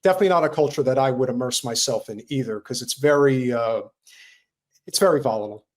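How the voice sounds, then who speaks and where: Male co-host, mid-pitched, earnest, halting podcast chatter. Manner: earnest, halting, repeats himself. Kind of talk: casual political chat.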